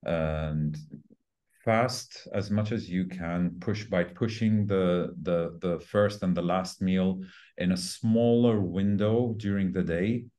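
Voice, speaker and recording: Man, 40s, low-pitched, soft dark tone, fitness trainer interview, halting phrasing, emphatic repetition. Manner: emphatic repetition of key words. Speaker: fitness trainer and podcast guest. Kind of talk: conversational explanation of diet and fitness in an interview.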